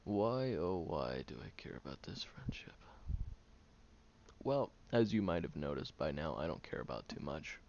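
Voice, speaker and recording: A man playing a prickly, guarded young loner who grudgingly cares, in an intimate one-sided audio roleplay, sardonic and hesitant with breathy murmurs. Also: very deep voice, lively intonation, normal speed, darkish tone. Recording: muffled, lo-fi, noticeable background hiss.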